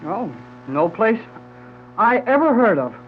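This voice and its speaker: An actor playing a brash, wisecracking young man in a 1930s radio serial, with a breezy, slangy, excitable delivery. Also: sits high, slow pace, a little hiss or hum, heavily acted. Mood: wry, excited. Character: brash, wisecracking, eager young male adventurer. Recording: dull, humming 1930s radio recording.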